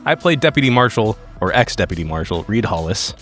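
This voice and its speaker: A man's voice: on the low side, big pitch swings, fairly quick, fairly bright tone. A man chatting casually as a player on a tabletop roleplaying podcast. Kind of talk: casual chat. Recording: music behind the voice. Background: music.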